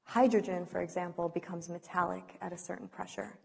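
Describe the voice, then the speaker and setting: A woman's voice: lowish voice, steady tone, brisk, gravelly, a little mellow, husky. A woman scientist giving an unscripted science lecture, hesitant as if thinking aloud. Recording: echoey hall reverb.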